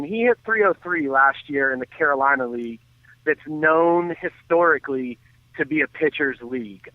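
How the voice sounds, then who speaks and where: Male sports analyst calling in over a narrow phone line, dry sound, earnest and detailed, halting delivery with restarts. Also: medium pitch, no echo. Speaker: baseball analyst and guest on a sports radio show. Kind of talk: off-the-cuff sports commentary.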